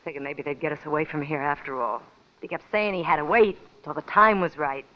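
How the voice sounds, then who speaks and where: An actress playing a brassy, hard-bitten young gangster's moll in a radio crime drama, with sassy, slangy talk. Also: female voice, low voice, a little fast, natural voice. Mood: bitter, tough. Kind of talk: old-time radio crime drama acting. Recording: muffled, lightly noisy old radio recording.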